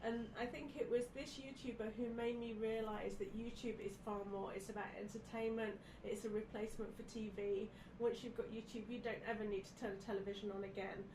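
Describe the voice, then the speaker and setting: A woman's voice: fairly high pitch, little pitch movement, brisk, few pauses. A woman, snuffly with a head cold, chatting casually as a podcast guest. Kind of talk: casual chat from notes. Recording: echoey, distant mic, clear background noise.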